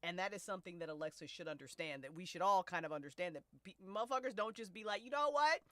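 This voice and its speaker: A woman hosts a relaxed chat about a story she wrote. Her voice is bright and very animated in pitch, recorded dry and close. She talks in expressive slang and swears freely. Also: fast, dry recording. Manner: prone to laughing as they talk. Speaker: host and writer of a fiction podcast. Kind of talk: casual discussion of an audio drama.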